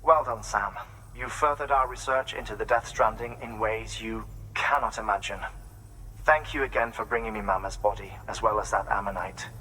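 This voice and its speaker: An actor playing a courteous, cerebral scientist in a video game, speaking politely and formally, with articulate phrasing. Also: male voice, on the low side, normal speed, bright, forceful tone, a little hiss or hum, rough recording. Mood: appreciative, businesslike. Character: courteous, cerebral male scientist running a research lab. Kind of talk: dramatic game dialogue.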